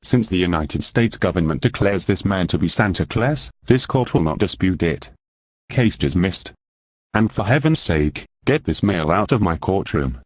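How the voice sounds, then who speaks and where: Exasperated courtroom judge played by a man, deep and authoritative, testy, dry radio drama recording. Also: expressive, moderate pace, no echo. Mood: resigned, firm. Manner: commanding, testy. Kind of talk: radio play courtroom acting.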